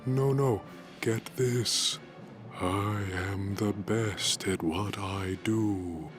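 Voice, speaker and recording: An actor playing a solemn cosmic being who speaks formally and pompously and longs to be an action hero. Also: male voice, on the low side, very slow, over music. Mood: solemn, eager.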